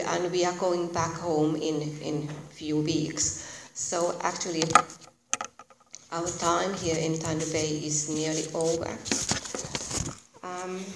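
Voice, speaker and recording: A woman from Finland with a Finnish accent and a soft voice, giving an informal lecture as a guest speaker in a hesitant, halting way. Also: on the low side. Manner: hesitant, halting delivery with self-corrections. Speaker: guest speaker, visiting academic. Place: echoey indoor room. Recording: very echoey room, clear background noise.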